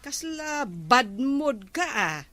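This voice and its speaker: A woman's voice: high-pitched, sing-song, drawn-out words, over music, full character voice. A woman with an Ilocano accent playing an older, easily offended village store keeper in a comedy drama. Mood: concerned, advising.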